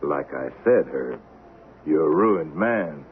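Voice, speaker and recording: Deep, taunting Western avenger. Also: male voice, expressive, heavily acted. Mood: triumphant, contemptuous. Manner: measured, taunting, sardonic. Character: cool, vengeful Old West man, coldly triumphant. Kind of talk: 1970s radio drama acting. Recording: tinny, noisy vintage radio broadcast.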